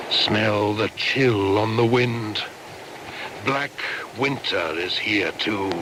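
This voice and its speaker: An actor playing an ancient pagan shaman spirit in a radio drama, solemn and incantatory, proud and taunting, sometimes dropping into a breathy whisper. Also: deep voice. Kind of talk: dramatic radio play monologue. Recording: heavy background noise and ambience.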